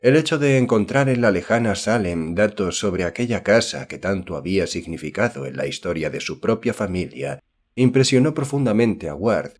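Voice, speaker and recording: Low-pitched male audiobook narrator. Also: expressive, normal speed. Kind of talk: scripted literary narration.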